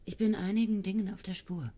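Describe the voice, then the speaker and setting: An actress playing a withdrawn young woman student in a German radio play, speaking low and often in a whisper. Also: steady tone, fairly quick, mellow, no room sound, natural voice. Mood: dreamy. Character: young woman student, brooding, dreamy farmer's daughter.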